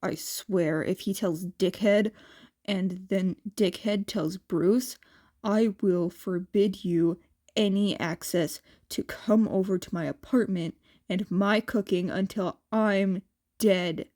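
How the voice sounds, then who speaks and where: A woman's voice: mid-range voice, fairly even intonation, slow pace, a little mellow. A woman voicing a sarcastic, exasperated young male crime lord who grumbles in an audiobook story. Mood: threatening, annoyed.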